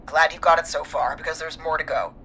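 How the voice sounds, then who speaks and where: An actress playing a calm, no-nonsense nurse in a video game, giving direct, measured instructions. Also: female voice, low-pitched, slight background noise. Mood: firm, instructive. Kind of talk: dramatic video game voice acting.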